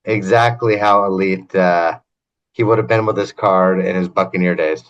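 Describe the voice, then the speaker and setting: Lower-pitched male co-host, analytical and explanatory, casual podcast delivery. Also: fairly even intonation, normal speed, fairly bright tone, muffled recording. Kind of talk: casual fantasy game analysis.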